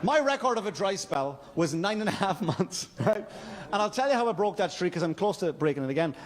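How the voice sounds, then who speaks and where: High-voiced Irish man doing stand-up. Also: expressive. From Wexford, Ireland. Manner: halting, stammered, repetitive delivery. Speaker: stand-up comedian. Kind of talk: stand-up comedy storytelling. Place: comedy stage before a live audience. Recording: live club stage, noisy laughing crowd. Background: audience laughter and crowd noise.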